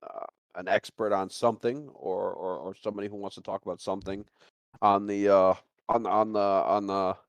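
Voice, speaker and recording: A man's voice: on the low side, level tone, normal speed, no room sound, dull audio. A man hosting a casual podcast, talking in a rambling way and circling back over his phrases. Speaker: podcast host.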